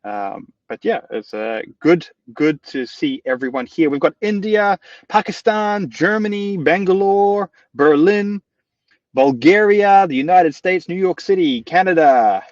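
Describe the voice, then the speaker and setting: A man's voice: high voice, lively intonation, unhurried, a little mellow, dry recording, recorded from a distance, muffled recording. Chatty New Zealand man hosting a webinar. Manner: upbeat, chatty, frequent fillers and restarts. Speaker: webinar host.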